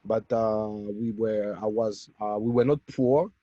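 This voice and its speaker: A man from Cameroon telling his life story as an interview guest, in a halting, self-correcting way, on dull webinar audio. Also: fairly low pitch, fairly even intonation, dry recording. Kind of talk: autobiographical talk in a webinar interview.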